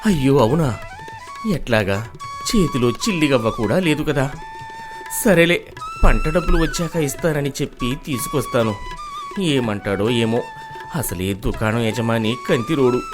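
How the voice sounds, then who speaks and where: A man's voice: medium pitch, huge pitch swings, unhurried, long pauses, music in the background. A man acting a poor, weary village farmer in a moral story, humble, pleading and sorrowful. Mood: anxious.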